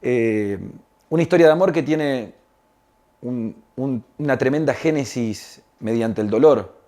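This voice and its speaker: Mellow, hesitant man. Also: medium pitch, unhurried. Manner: hesitant, searching for words, tag questions. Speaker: radio drama maker being interviewed. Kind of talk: reflective explanatory talk.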